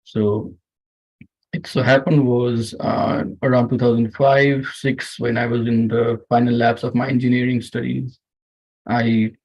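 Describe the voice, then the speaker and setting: A man from Nepal in his thirties or forties with a Nepali accent, speaking informally and haltingly as host of an online meditation session. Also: mid-range voice, creaky, a little mellow, husky. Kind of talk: informal personal talk to online group. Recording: muffled, dull lo-fi online audio.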